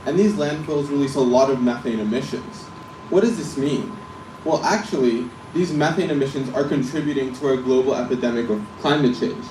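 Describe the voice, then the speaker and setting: A young man from the Netherlands in his late teens gives a rehearsed business pitch, posing questions and then answering them. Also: brisk, background noise. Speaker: student presenter. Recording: echoey room from a distance.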